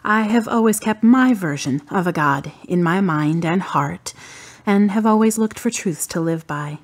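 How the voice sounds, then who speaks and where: Woman playing husky, guarded grieving daughter. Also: on the low side, a little slow. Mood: reflective, wry. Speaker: actress in a radio drama. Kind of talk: radio drama dialogue.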